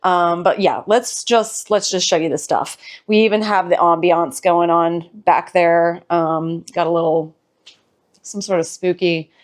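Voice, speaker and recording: A woman hosting her channel, giving a chatty, rambling home tour while hoarse from recovering from an illness. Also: lowish voice. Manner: bubbly, rambling, self-deprecating. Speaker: host of a home decor channel.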